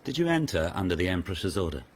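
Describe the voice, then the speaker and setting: An actor playing a haughty, menacing court lord in a radio drama, speaking imperiously with cold sarcasm and deliberate weight. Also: male voice, big pitch swings, breathy edge, heavily acted. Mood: cold, probing. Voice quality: deep. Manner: imperious, coldly sardonic, deliberate.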